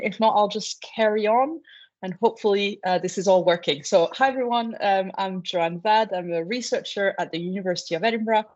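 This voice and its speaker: A woman presents a marine science talk over a video call. She explains things patiently, with lots of small "uh"s and "um"s along the way. Her voice sits at a middle pitch, and the audio sounds a little dull and lo-fi. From France. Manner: relaxed, explanatory, with frequent "uh" and "um" fillers. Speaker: marine biology researcher. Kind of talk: online presentation.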